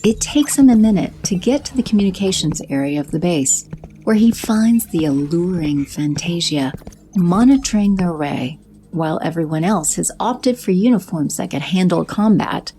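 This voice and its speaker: Low, dark-toned woman narrator. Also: normal speed, a little hiss or hum. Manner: even, descriptive. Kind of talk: scripted audio drama narration.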